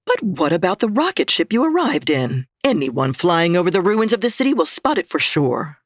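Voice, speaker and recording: A woman's voice: fairly low pitch, big pitch swings, normal speed, no echo, clean studio sound. An actress playing a cautious, questioning henchwoman in a comedy audio drama. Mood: doubtful. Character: cautious female henchwoman Ardela.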